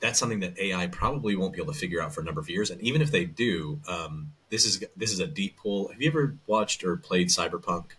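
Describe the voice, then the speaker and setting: Deep-voiced man, rambling and bright. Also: lively intonation, fast talker, few pauses, husky. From American South. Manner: rambling, loose storytelling with many restarts. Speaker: voice actor and audiobook narrator, guest. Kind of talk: casual interview chat.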